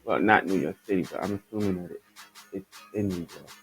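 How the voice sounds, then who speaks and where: A man hosting his own podcast, his voice raspy and stuffed up, chatting loosely and rambling as he talks straight to his listeners about the news. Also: low voice, a little fast, fairly bright tone, soft background music, poor audio. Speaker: podcast host. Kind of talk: casual commentary on news stories.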